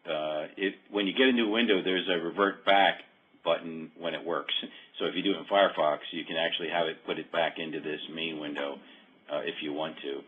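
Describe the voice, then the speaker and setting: Man, low pitch, slightly husky, explanatory software presenter, informal, phone-quality recording. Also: fairly even intonation, fairly quick. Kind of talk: informal walkthrough of a software demo. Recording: muffled phone-like call audio.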